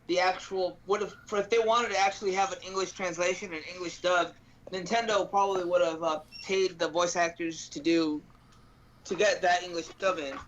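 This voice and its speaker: A man co-hosting a gaming stream, chatting informally with somewhat halting explanations. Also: sits high, fairly even intonation, a crowd in the background. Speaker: live stream co-host. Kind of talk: casual gaming chat. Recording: dull lo-fi voice-chat audio.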